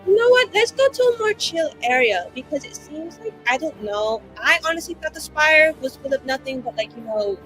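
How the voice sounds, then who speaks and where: A woman's voice: sits high, animated, moderate pace, few pauses, a bit bright, faint music behind, voice pushed up, low-quality recording. A woman playing a brash, foul-mouthed tavern reveler who talks in a blunt, slangy, sarcastic way. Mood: breezy, mocking.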